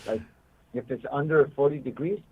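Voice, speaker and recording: A man's voice: medium pitch, normal speed, darkish tone, breathy edge, low-quality recording. A man chatting casually on a call, playful and slangy, often chiming in and slipping into a bit of Spanish. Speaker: regular caller from prison. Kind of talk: casual phone chat.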